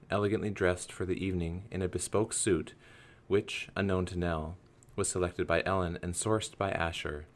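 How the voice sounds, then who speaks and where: Low-voiced man narrating a radio play. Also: darkish tone.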